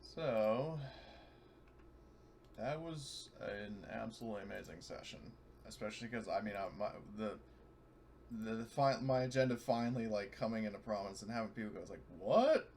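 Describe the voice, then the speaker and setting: A man with a lowish voice chats casually as a tabletop gamer after a session. He swears now and then, and there is faint noise on his home voice-chat recording. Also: normal speed, darkish tone. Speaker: tabletop role-playing game player. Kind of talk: casual post-game chat.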